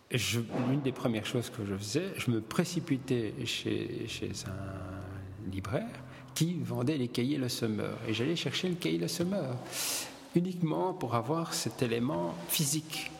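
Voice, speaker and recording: A middle-aged man from Belgium tells his stories slowly, stopping and restarting his sentences. His voice has a gravelly edge. The recording carries a long reverb tail and a faint background hum. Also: medium pitch. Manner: hesitant, many fillers and restarts. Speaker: hairdresser, gallery owner and publisher. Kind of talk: relaxed interview storytelling.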